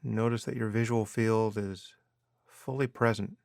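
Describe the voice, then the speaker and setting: A man guiding a meditation as a teacher, giving measured instructions with unhurried pauses. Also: on the low side, steady tone, vocal fry, darkish tone, no echo, clean studio sound. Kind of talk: guided meditation narration.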